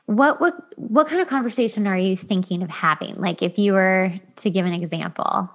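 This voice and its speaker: A woman's voice: mid-range voice, normal speed. A woman co-hosting a podcast, talking in a casual, conversational style. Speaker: podcast co-host.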